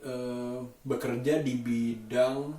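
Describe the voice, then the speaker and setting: Man, casual vlogger, unhurried halting delivery, thoughtful pauses, roomy sound, distant mic. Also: mid-range voice, little pitch movement, mellow. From Indonesia. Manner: halting, thoughtful, searching for words. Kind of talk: casual daily vlog monologue.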